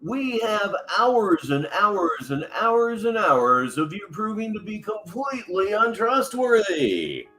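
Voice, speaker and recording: A man's voice: high voice, slow, hardly any pauses, soft background music, poor audio. A man hosting a reaction stream, making dry, mocking commentary on a clip. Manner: dry, mocking, animated delivery. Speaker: commentary host.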